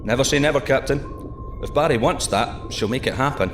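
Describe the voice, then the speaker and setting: An actor playing a male deep-sea diver piloting a tiny submarine, giving calm, clipped radio reports. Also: normal speed, roomy sound, background noise, lightly acted. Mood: steady. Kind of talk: audio drama acting.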